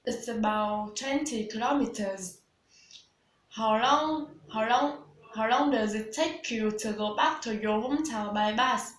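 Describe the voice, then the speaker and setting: A woman's voice: fairly high pitch, measured pace. Woman, 20s, Vietnamese accent, hesitant, fillers and restarts, student, roomy echo, faint noise. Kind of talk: scripted English speaking practice.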